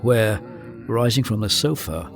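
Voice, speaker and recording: A man's voice: fairly low pitch, lively intonation, measured pace, vocal fry, music in the background. An actor playing a brooding gothic narrator, telling his tale of dread in an ominous, literary manner. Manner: ominous, literary, hesitant for effect. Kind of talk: dramatic scripted narration.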